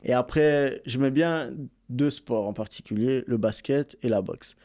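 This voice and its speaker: A Belgian man, a boxing coach and content creator, telling his story in a relaxed, easygoing way as a podcast guest. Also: mid-range voice, a little slow. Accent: Belgian French. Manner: relaxed storytelling, many fillers. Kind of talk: casual interview.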